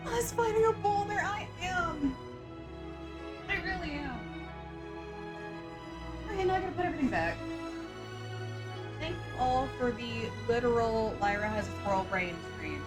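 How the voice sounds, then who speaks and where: A young woman streams live and chats with her viewers in a quick, scatterbrained way, gasping now and then. Her voice sits a little high and sounds sore in the throat. Music plays clearly behind her. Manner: scatterbrained, chatty, excitable gasps. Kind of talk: casual live-stream chat. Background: background music.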